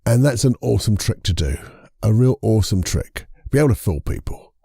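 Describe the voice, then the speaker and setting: A man with a croaky voice hosting a casual solo podcast, chatty and rambling, correcting himself as he goes. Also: lowish voice, expressive, brisk, warm tone, no echo, clean studio sound. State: croaky voice from a lingering cold. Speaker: podcast host and hypnotherapist.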